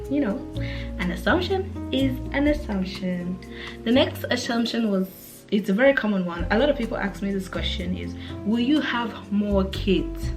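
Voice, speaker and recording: Chatty young African woman vlogger. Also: mid-range voice. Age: 20s. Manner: chatty and relaxed, with fillers and restarts. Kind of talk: casual chat to camera.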